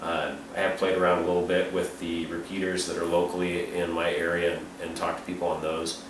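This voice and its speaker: A man's voice: low-pitched, monotone, fairly quick. A man giving an informal, unscripted product review and explainer in a plain-spoken, matter-of-fact way. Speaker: reviewer and amateur radio hobbyist. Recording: roomy, distant mic, clearly noisy.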